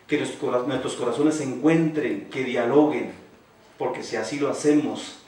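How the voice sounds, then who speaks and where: A man giving a slow, solemn ceremonial address at a cultural event. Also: medium pitch, darkish tone. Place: large hall. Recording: echoey hall, distant voice, faint hiss.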